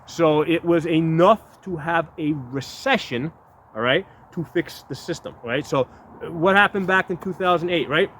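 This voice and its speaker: A man's voice: a little high, moderate pace, fairly bright tone, slight background noise. A man around fifty talking casually to his viewers in a blunt, rambling way, restarting words and asking "right?" again and again. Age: 50s. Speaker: video host. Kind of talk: casual talk to camera.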